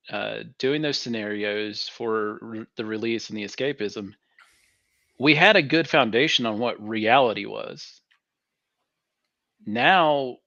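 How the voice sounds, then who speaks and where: A man co-hosting a casual talk, speaking in a slow, measured way. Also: medium pitch, sharp tone, no echo. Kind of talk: casual conversation. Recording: dull lo-fi audio.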